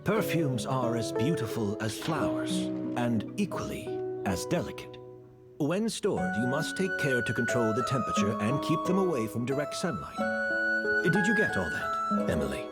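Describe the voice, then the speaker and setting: A man voicing a patient perfume teacher whose speech rises and falls with lively melody as he instructs. Loud music underlies his game dialogue. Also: mid-range voice, close to an everyday voice. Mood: instructive. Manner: measured, instructive. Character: measured perfume mentor teaching a student.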